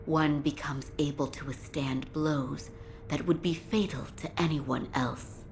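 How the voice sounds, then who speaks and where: Low, unhurried, composed lady doctor. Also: fairly even intonation. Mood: calm, grave. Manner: measured, formal, soft-spoken. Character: composed, gentle young female castle doctor. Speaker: video game voice actor. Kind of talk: dramatic game cutscene dialogue. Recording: noisy game audio, ambient background.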